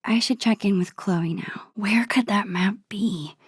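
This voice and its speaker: A gentle, introspective teenage girl from a video game. Her voice is soft and husky with breath, recorded very dry and close. She takes her time over each phrase, hesitant and wistful, as if musing to herself. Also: mid-range voice, measured pace, no echo. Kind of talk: naturalistic video game dialogue and inner monologue.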